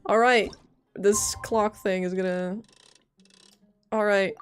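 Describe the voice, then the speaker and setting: A woman's voice: mid-range voice, steady tone, slow, a little hiss or hum. A woman livestreaming a game with casual, reactive commentary, informally musing aloud as she plays. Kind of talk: casual live gameplay commentary.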